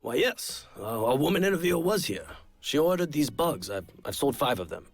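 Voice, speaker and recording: An actor playing a forgetful, flustered junk seller in a game, hesitant and stammering. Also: male voice, medium pitch, big pitch swings, brisk, soft background music. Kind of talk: video game character acting.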